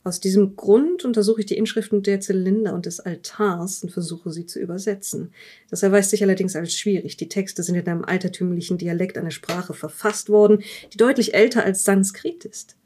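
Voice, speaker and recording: A woman playing a curious, measured interviewer who examines a dead man's journal in an improvised audio drama. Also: medium pitch, mellow, husky, natural voice. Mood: measured reading.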